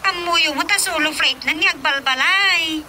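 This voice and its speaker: A woman's voice: very high voice, normal speed, bright, forceful tone, slight background noise, heavily acted. A woman with an Ilocano accent playing a chattering, gossiping daughter calling on the phone in a radio drama comedy. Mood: gossipy. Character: gossiping adult daughter on the phone, named Rosa.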